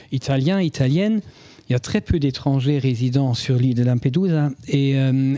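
Man, foreign accent, non-native speaker, halting with many fillers, mellow voice, dry close sound. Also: on the high side, dry recording. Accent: foreign accent in French. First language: not French. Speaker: guest anthropologist. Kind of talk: talk at a public book event.

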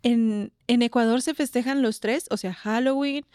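A woman's voice: a little high, normal speed, a little mellow, clear, resonant tone, no echo, clean studio sound. A Mexican woman presenting a radio programme and interviewing guests in Spanish. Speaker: radio host.

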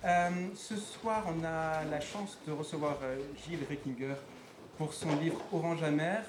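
A man's voice: on the high side, measured pace. A man giving informal welcoming remarks as organizer of an event, with many fillers. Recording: roomy with background noise.

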